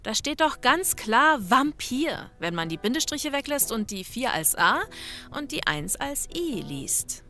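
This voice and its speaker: An actress playing a brash, sharp-witted female police investigator, sassy and matter-of-fact, in a German comedy audio drama. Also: high voice, very animated, a little slow, faint music behind, exaggerated voice. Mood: confident, dismissive.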